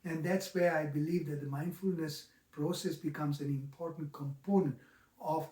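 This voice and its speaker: A man in his sixties with a Sri Lankan accent gives a reflective, explanatory talk in an earnest, halting manner, stressing key words. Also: fairly high pitch, steady tone, warm tone. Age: 60s. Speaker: mindfulness teacher. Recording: roomy, echoing, distant microphone.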